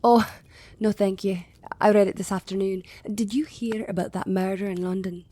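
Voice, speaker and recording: An actress playing a wistful, timid young Scottish farm wife, polite and hesitant, in an audio play. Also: female voice, medium pitch, fairly even intonation, moderate pace, warm tone, lightly acted. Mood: earnest.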